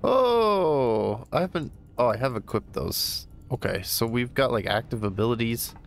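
Male gamer, stuffy nose, low gravelly voice, casual think-aloud commentary over faint game music. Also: animated, unhurried. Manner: thinking aloud, easygoing, upbeat exclamations. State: stuffy, congested voice. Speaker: gamer playing a new game. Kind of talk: casual let's-play commentary.

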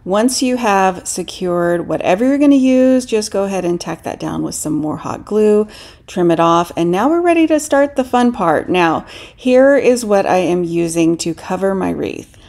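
A woman's voice: mid-range voice, animated, moderate pace, gravelly, darkish tone. A middle-aged woman narrating a do-it-yourself decorating tutorial as a voice-over, explaining each step in a friendly, instructive way. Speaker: DIY craft channel host.

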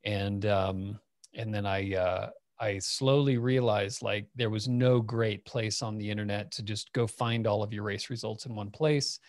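A man in his late forties, raised in Phoenix, telling stories in a relaxed, rambling way with many fillers on a video call. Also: fairly low pitch, measured pace, dry recording, clean studio sound. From Phoenix, Arizona. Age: 40s. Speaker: guest, company founder. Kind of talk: casual storytelling interview. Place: home office. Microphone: headset over video call.

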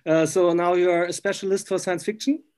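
Male interviewer, fairly high voice, halting English, informal conversational delivery. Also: steady tone, a little mellow. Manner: halting non-native English. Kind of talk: casual interview chat.

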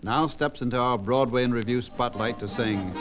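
A man's voice: mid-range voice, normal speed, over music. An American man hosting a scripted 1950s radio revue as a courteous, showman-like master of ceremonies. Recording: 1950s transcription disc radio broadcast.

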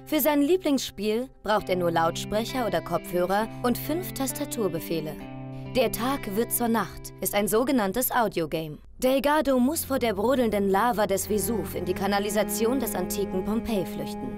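Sprecherin eines Magazinbeitrags über lauter Musik. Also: female voice, medium pitch, expressive, normal speed. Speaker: reporter voice-over. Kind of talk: scripted magazine report narration. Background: loud music.

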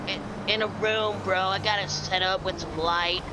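A man acting as a dopey stoner in a game role-play, with a high voice for a guy. He rambles in easygoing slacker fashion, fretting gently, over clearly audible street noise. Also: fairly even intonation, moderate pace, lightly acted. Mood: sheepish. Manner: rambling slacker talk, peppered with bro and man. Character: dopey laid-back male stoner worried about his sick plants.

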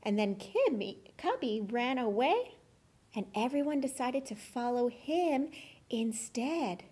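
A dutiful girl collie, a farm watchdog puppet acted by a woman, speaks in a high voice at a slow pace. She is earnest and matter-of-fact, made for a children's puppet show. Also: very animated, warm tone, slightly rough, heavily acted.

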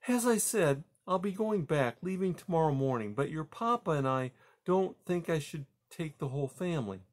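A man voicing a gentle, anxious mother mouse in a storybook, speaking politely and earnestly with some hesitation. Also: fairly high pitch, big pitch swings, moderate pace, pitched above the performer's own voice. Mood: hopeful, coaxing.

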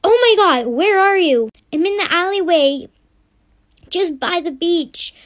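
A woman voicing a moody, quick-tempered pregnant teenage girl in a family comedy skit, snappy and sulky. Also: extremely high pitch, pitched above the performer's own voice. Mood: panicked. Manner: snappy and sulky, then panicky.

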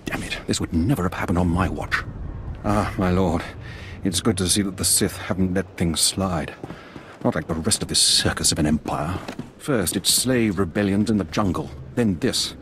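An actor playing a stern, indignant older military veteran in a video game. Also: male voice, low voice, moderate pace, noisy background, close to an everyday voice. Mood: disgruntled. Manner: stern, grumbling, earnest. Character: indignant veteran male Imperial officer, older, disgruntled, grave. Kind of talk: video game cutscene acting.

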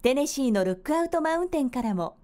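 Female radio DJ for scripted narration; relaxed, mellow timbre, slightly high register. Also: fast. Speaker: radio DJ and voice-over artist.

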